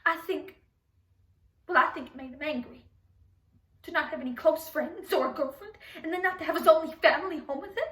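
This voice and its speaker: A woman performing a dramatic monologue as a grieving, defensive mother who dotes on her son, halting and pleading as she speaks. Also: sits high, fairly bright tone. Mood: defensive, sad. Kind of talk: dramatic monologue for speech competition. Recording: echoey room, distant microphone.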